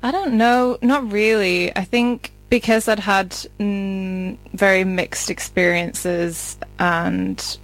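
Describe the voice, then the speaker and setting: A woman's voice: medium pitch, fairly even intonation, slow, few pauses. A woman in her early thirties talking in a reassuring, casual conversational way. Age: early 30s. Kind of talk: casual chat.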